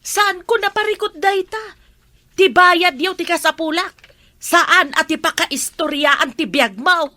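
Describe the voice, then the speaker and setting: Impatient stern landlady, female, high pitch, forceful, dry studio sound. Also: measured pace, no echo, full character voice. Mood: irritated, demanding. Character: stern impatient landlady demanding overdue rent. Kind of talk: Ilocano radio drama acting.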